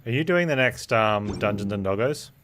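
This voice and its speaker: A woman's voice: a bass voice, animated, moderate pace. A woman doing casual, wry, blunt commentary while streaming a video game. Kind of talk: casual live gameplay commentary. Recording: game music loud behind.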